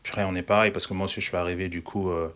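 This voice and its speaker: A man from Congo hosts a relaxed interview. His voice sits low and his talk is casual and full of slang. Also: very fast.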